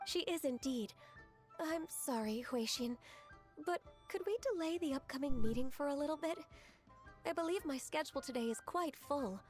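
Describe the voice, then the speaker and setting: A woman voicing a dutiful, polite young secretary in a video game who speaks softly and earnestly. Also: sits high, moderate pace, soft background music, close to an everyday voice. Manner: soft-spoken, polite, earnest.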